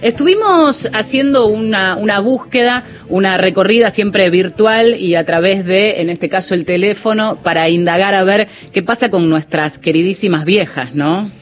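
A woman's voice: mid-range voice, moderate pace, hardly any pauses. Woman radio host, music under. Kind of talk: radio program presenting.

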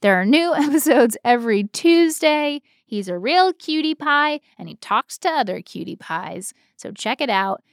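A woman's voice: sits high, lively intonation, normal speed, few pauses, dry recording, studio quality. A woman co-hosting a podcast in casual, comedic chat, telling stories with playful, self-mocking drama. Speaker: podcast co-host.

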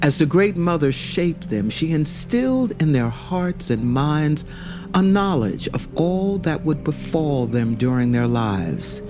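A man narrating a scripted myth as a storyteller, measured and solemn in his delivery. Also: a little high, animated. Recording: music behind the voice. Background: music.

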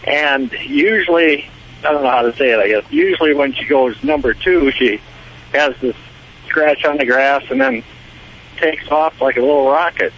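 A man's voice: mid-range voice, husky. Male caller, hesitant, muffled phone sound. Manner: halting, hesitant telling.

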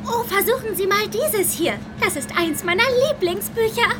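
A woman's voice: very high voice, expressive, normal speed, over music. A woman voicing an eager young book lover in a children's audio drama, speaking in a pleading, enthusiastic way.

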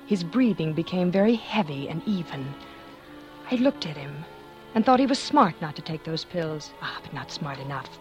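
Scheming, cold-blooded wife, 1940s radio suspense. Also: female voice, deep voice. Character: scheming, cold-blooded former actress poisoning her husband. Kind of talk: 1940s radio suspense acting, first-person confession. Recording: 1940s radio recording with music.

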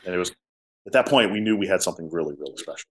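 A man with a low voice, a musician telling stories in a casual interview. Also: expressive, rapid-fire. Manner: talkative storyteller, drops to soft tones. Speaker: musician guest.

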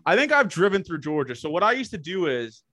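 A man's voice: sits high, very animated, fast, a bit bright. A young man from Canada with a slight Canadian accent, hosting a casual podcast and firing off loose, swearing questions. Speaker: podcast host.

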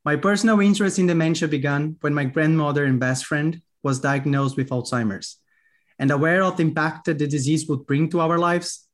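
A man with a Brazilian accent hosting a podcast, reading a friendly, lightly joking intro and then interviewing a guest. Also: a little high. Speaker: podcast host, journalist.

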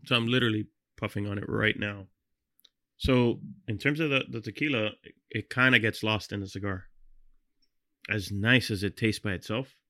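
Bright-voiced man tasting and describing. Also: on the low side, moderate pace, dry recording, studio quality. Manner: wry, descriptive, teasing. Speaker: podcast co-host. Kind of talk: casual tasting chat.

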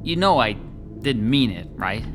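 A man's voice: mid-range voice, big pitch swings, measured pace, over music. An actor playing a slangy, grumbling, middle-aged male New York lackey in an audio horror drama. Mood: nervous.

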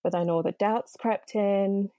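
A woman's voice: medium pitch, fairly even intonation, unhurried, mellow, no echo, studio quality. A young woman from Nigeria, a podcast guest, telling her story in a chatty way with many fillers. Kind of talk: interview conversation.

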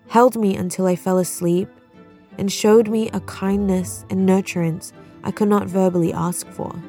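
A woman's voice: mid-range voice, warm tone. A woman who is an author, speaking reflectively about her writing and reading her memoir.

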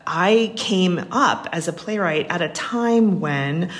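Low-voiced American woman, chatty and wry. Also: expressive, takes it slowly. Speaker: interview guest, playwright. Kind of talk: casual interview conversation.

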